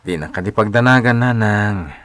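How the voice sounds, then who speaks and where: Man acting low-voiced, patient young Ilocano husband. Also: normal speed, a bit bright, dry recording, natural voice. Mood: reassuring. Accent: Filipino, Ilocano. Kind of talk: radio drama acting.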